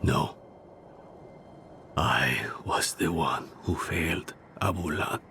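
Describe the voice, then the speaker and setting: An actor playing a weary, grieving spirit-healer in a video game, gravelly and halting, with a solemn manner. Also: male voice, deep voice, noisy background. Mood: guilty. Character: grieving, weary male spirit-healer, guilt-ridden but resolute. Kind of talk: dramatic video game acting. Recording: music behind the voice.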